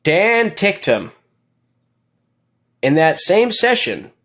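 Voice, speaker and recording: A man's voice: sits high, very animated, extremely slow, a bit bright. A man co-hosting a podcast, recapping racing in casual chat, rambling with many fillers and jokes. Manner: rambling, filler-heavy, joking and laughing. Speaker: podcast co-host.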